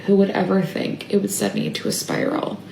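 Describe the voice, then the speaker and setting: A mother speaking in a halting, tearful confessional, often trailing off and dropping to a whisper. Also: mid-range voice, flat delivery, vocal fry, warm tone. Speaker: mother sharing a personal update. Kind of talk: emotional confessional monologue. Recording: echoey room with faint ambient noise.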